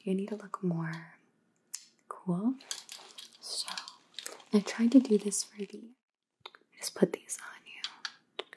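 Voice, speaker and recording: Woman playing a slow soft-spoken trendy housemate. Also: medium pitch, a little hiss or hum, natural voice. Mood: bossy, casual. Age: young adult. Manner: casual valley-girl drawl full of "like". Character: aloof, trend-obsessed young female housemate among vampires. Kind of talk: ASMR roleplay.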